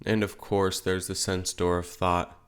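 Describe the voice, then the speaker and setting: A man leading a guided meditation as a teacher, speaking in gentle, unhurried phrases with pauses. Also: sits low, little pitch movement, smooth.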